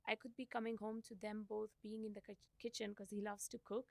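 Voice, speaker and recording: A young woman from India, whose first language is Gujarati, speaks as a podcast guest and thinks out loud with easy soft laughs. Her voice has a gravelly, creaky edge and sits in a middle range for a woman. The recording is dry and close. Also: medium pitch, little pitch movement, brisk, dry recording. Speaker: podcast guest, company CEO. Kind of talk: casual interview conversation.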